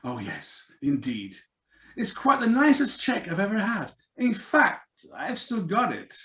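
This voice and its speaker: An actor playing a suave, sneering blackmailer with mocking, drawn-out courtesy in a live radio drama reading. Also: male voice, sits high, wildly swooping pitch, fairly bright tone, roomy sound, heavily acted. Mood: smug, menacing.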